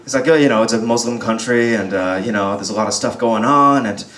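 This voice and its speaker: A man's voice: medium pitch, fast, fairly bright tone. A young man from Texas performing a chatty, self-deprecating stand-up set in a pub, often laughing as he talks. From United States (Texas). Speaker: stand-up comedian. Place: pub comedy stage. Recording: roomy pub sound with faint crowd murmur.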